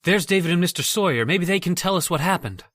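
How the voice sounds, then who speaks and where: A woman's voice: fairly low pitch, expressive, fast. A woman acting as a composed, matter-of-fact heiress in an old-time radio drama. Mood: concerned.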